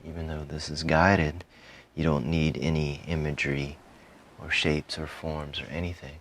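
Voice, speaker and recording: Very deep-voiced man guiding meditation. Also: a little hiss or hum. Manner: calm, soothing, with frequent pauses between short phrases. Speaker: meditation guide. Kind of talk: guided meditation, softly spoken instruction.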